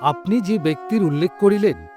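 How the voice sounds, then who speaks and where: An actor playing a kindly, humble bound prisoner, speaking formal lines in an audio drama. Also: male voice, sits high, lively intonation, fairly quick. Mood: moved. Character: bound male prisoner from Damascus, kindly, humble, ill-fated. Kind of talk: Bengali audio drama acting, formal literary diction. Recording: music behind the voice.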